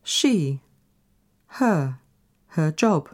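A woman narrating a scripted language course recording, deliberate and carefully enunciated. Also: sits low, very animated, darkish tone. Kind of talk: scripted language-lesson reading.

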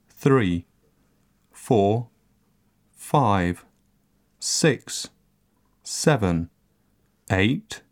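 A man narrating a scripted language course recording, enunciating each word carefully and deliberately. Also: lowish voice. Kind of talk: scripted language-lesson reading.

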